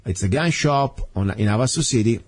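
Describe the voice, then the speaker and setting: A man's voice: medium pitch, expressive, brisk, slightly rough. A man from Italy with an Italian accent, hosting a talk radio show in an earnest, rambling monologue full of fillers and restarts. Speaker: radio host. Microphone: studio microphone.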